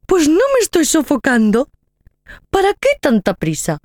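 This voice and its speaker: A woman's voice: high voice, huge pitch swings, measured pace, a little mellow, dry recording, clean studio sound, heavily acted. A woman voicing a carefree, overconfident mother hare in a children's story, chatty and full of exclamations. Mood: breezy, excited. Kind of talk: children's audio story acting.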